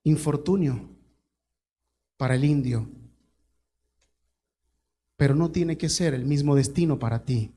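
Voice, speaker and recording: A man's voice: on the high side, slow, long pauses, dark tone, breathy edge. A male pastor preaching a sermon, telling a story dramatically and emphatically.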